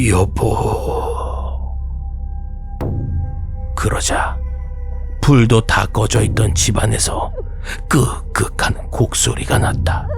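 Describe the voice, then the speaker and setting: Deep-voiced man narrating horror stories. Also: vocal fry. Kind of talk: scripted narration of horror tales, storytelling. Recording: music bed behind voice. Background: background music.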